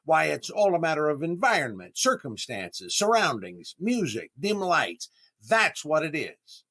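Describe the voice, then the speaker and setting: An actor playing a vain, hapless comedian in 1930s-style radio comedy, with a deliberate, indignant delivery and dramatic pauses. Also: male voice, a little high, huge pitch swings, pushed and bright, exaggerated voice. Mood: insistent. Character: vain, hapless comedian desperate to prove his sex appeal.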